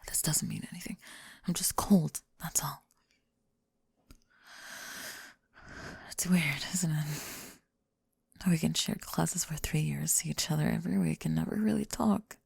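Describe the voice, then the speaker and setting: A young woman acts out a flustered, guarded female college student in an ASMR roleplay. Her voice is on the low side with plenty of creak, often sinking into soft whispers. She talks haltingly and self-consciously, very close and dry on the mic. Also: no echo, exaggerated voice. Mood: vulnerable, hesitant. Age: young adult. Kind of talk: ASMR roleplay, scripted intimate monologue. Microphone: close microphone, dry.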